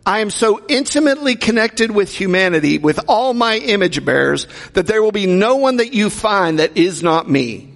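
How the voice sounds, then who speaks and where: A man's voice: sits high, animated, moderate pace, creaky, few pauses, fairly bright tone. A man preaching a sermon in a conversational way, asking the congregation rhetorical questions. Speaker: pastor. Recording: long hall reverb.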